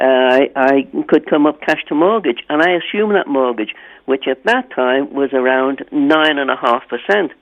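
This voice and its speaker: An older man calling in to a radio talk show, telling his story haltingly with many restarts. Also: mid-range voice. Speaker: caller. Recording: tinny phone line.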